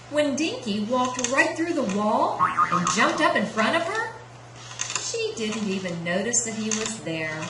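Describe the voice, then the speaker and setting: A woman narrating a children's story as a puppet-show storyteller. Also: sits high. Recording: roomy sound with clear background noise.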